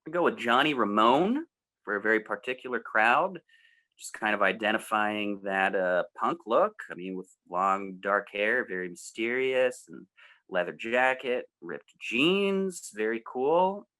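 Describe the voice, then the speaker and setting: A man's voice: on the low side, lively intonation, unhurried, sharp tone. An American man in his thirties chatting in an informal, rambling way as a co-host on a music show. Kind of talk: casual chat.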